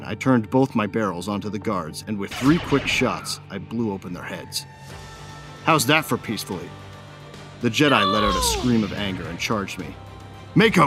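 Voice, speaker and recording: Deep-voiced cocky bounty hunter. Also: male voice. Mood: tense, angry, then defiant shouting. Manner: swaggering, colloquial, punchy. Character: cocky, hot-tempered male bounty hunter narrating his exploits. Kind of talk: first-person audio drama narration.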